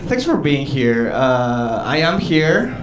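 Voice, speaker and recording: Male comedian, lively swooping pitch, unhurried delivery, conversational stand-up, exclamatory bursts. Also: very noisy. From southern Chile. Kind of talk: live stand-up comedy set. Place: small comedy theater stage, indoors. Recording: roomy live club sound, distant, audience. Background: audience laughter.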